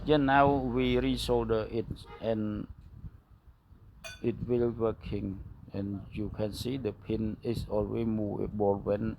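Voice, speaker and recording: Slow, halting man with non-native English accent. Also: lowish voice, little pitch movement, gravelly, muffled recording, a little hiss or hum. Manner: halting, short broken phrases with simple wording. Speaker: phone repair technician and instructor. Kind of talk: hands-on repair tutorial narration.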